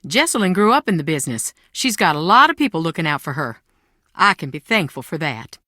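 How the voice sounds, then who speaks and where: Proud mother, bright, very animated. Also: deep voice, brisk, no echo, clean studio sound, exaggerated voice. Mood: earnest, worried. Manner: defensive, theatrical, emotionally expressive. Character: proud, anxious Southern mother guarding family secrets. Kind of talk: video game voice acting.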